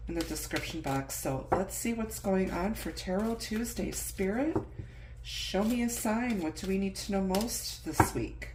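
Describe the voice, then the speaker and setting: A woman's voice: lowish voice, echoey room, noisy background. A middle-aged woman, a little stuffy from the flu, giving a casual tarot reading and musing aloud over the cards. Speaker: tarot reader.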